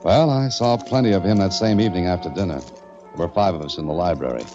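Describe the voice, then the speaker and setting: An American man playing a calm, inquisitive adventurer, narrating a mystery in measured 1940s radio style. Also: low-pitched, natural voice. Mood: tense narrating. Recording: muffled old-time radio recording, music behind.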